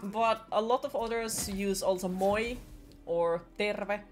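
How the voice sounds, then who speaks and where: A woman's voice: mid-range voice, slow. A young woman with a Finnish accent, chatting playfully with her viewers while streaming a game from a small room at home, swearing casually. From Finland. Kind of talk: casual chat with chat while gaming. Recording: faint game music behind.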